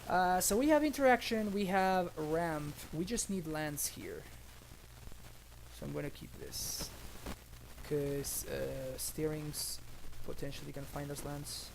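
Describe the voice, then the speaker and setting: A man's voice: on the high side, unhurried, gravelly, noisy background. A man in his thirties from Argentina, streaming and commenting casually on his card game as he plays, thinking aloud with many fillers, on a headset in a small room.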